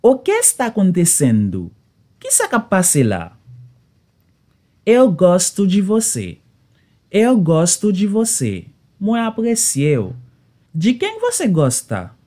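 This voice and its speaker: Woman language teacher, Haitian Creole native, slow deliberate drills, very lively pitch. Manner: slow and deliberate, says each phrase twice then translates. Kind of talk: slow phrase drills, repeating each phrase.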